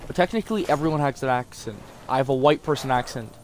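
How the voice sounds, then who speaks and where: Game gunfire and noise sit behind a man's mid-pitched voice. He rambles casually as he plays, swearing often and putting himself down. His throat is a little sore. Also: fast talker. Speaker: gaming video host. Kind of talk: casual gameplay commentary. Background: zombie shooter gunfire and ambience.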